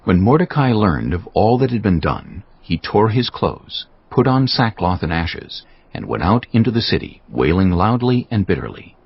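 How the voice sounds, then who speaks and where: A man narrating scripture dramatically from a script, with a gravelly voice and a solemn, deliberate manner. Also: fairly low pitch, big pitch swings, muffled recording.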